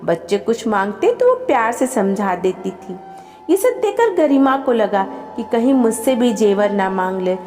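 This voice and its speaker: A woman storyteller reads a scripted family tale in a fairly high voice. Her pitch moves around in an animated way, and the recording carries a long reverb with music underneath. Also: measured pace.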